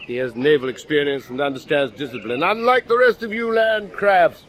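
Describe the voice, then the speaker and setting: An actor playing an imperious, impatient naval commander in a period radio drama, brusque and given to clipped orders. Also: male voice, fairly high pitch, normal speed, a bit bright, slight background noise, lightly acted. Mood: brisk, impatient.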